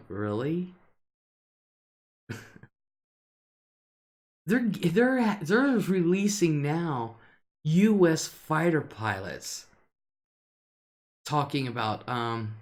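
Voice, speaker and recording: Slow-talking older woman with a deep alto. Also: big pitch swings, darkish tone. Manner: chatty, laughs often between remarks. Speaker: live-stream host. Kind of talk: casual live-stream chat, reading viewer comments.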